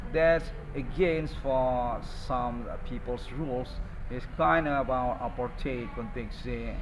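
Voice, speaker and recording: A man from Indonesia with an Indonesian accent delivers a rambling monologue to online viewers in halting, disjointed, non-fluent English. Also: mid-range voice, rough recording. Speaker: self-styled online presenter. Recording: loud ambient vehicle noise behind.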